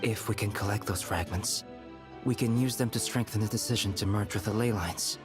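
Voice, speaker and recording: Man playing a serious advisor, lowish voice, measured delivery, game music. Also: lightly acted. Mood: grave.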